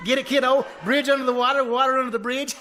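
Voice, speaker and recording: Forceful bright voice, boastful folksy husband played by a man, blustering, excitable, old-time radio comedy. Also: extremely high pitch, fairly quick, slight background noise. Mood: joking. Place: live before an audience at a winery.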